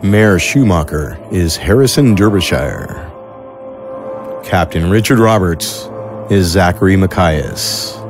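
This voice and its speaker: Male announcer for a closing credits read: very low pitch, measured scripted delivery, music underneath. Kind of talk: scripted outro narration.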